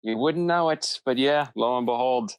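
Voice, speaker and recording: A man's voice: mid-range voice, moderate pace, smooth, no room sound. A man in his thirties chatting casually and interjecting as a guest on a podcast.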